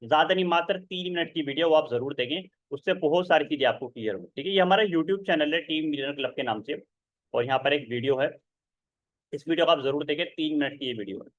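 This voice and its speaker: A man presenting a webinar sales pitch, persuasive, keeps checking in with his listeners. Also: fast talker, no room sound, highs cut off. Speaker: online seminar presenter.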